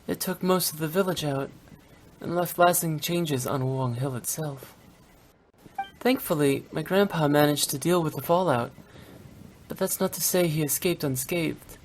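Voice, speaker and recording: A woman's voice: deep voice, normal speed, slight background noise, lightly acted, poor audio. A woman playing a young female funeral director in a video game, playful yet blunt and unsentimental, speaking in a frank, matter-of-fact way. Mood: somber, reflective. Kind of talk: scripted English video game voice acting.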